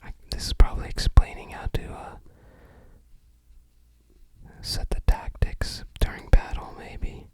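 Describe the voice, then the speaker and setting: An ASMR host speaking in a soft, unvoiced whisper, casually musing and tentative while commenting on items. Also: unhurried, long pauses.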